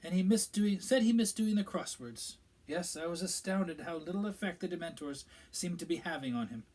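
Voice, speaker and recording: A fussy, pompous middle-aged minister from a storybook, as a male narrator puts him on. His voice sits higher than the reader's own and carries a lot of self-importance. He is evasive under the bluster. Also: brisk, darkish tone. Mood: solemn, pompous.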